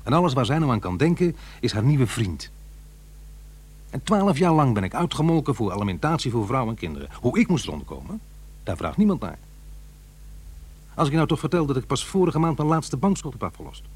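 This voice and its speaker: Middle aged divorced dad in a radio play, aggrieved and muttering, kinda husky, very up and down. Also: male voice, medium pitch, very animated, fairly quick, darkish tone. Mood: bitter. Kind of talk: naturalistic radio play acting.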